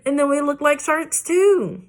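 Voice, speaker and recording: A woman's voice: medium pitch, unhurried, fairly bright tone, close to an everyday voice. A woman voicing a spirited, proud little schoolgirl in a children's book read aloud, whiny and hollering. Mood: whining. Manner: whiny, hollering, dragging words out.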